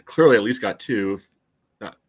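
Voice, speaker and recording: Male co-host for sports podcast, lowish voice, informal chat delivery. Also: lively intonation, normal speed.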